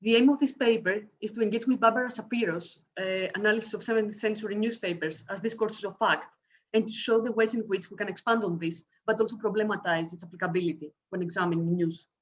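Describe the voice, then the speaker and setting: A woman with a Greek accent presenting an academic paper over a video call, talking fast with hesitant fillers and self-corrections. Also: medium pitch, lo-fi sound, a little hiss or hum. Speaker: conference speaker.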